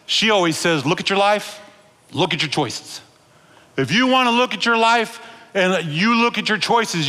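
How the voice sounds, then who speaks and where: A high-pitched, bright-toned man in his thirties preaches with lively gestures, calling out to his congregation. He speaks into a headset mic, and his voice rings out with the long reverb of a large church auditorium. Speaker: pastor. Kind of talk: sermon. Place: large church stage with blue lights.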